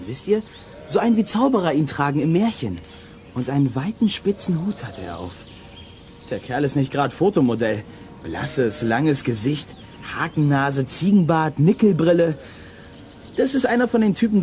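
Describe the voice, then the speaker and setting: Lively, hot-tempered boy adventurer. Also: on the high side, moderate pace. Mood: excited recounting. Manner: cheeky, irritable, emphatic. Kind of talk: German children's audio drama acting.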